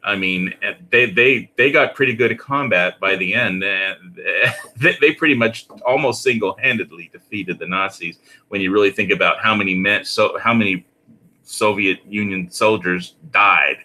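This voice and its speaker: A man talking casually and ramblingly, in a halting way that often repeats and restarts phrases. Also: lowish voice, pushed and bright, poor audio.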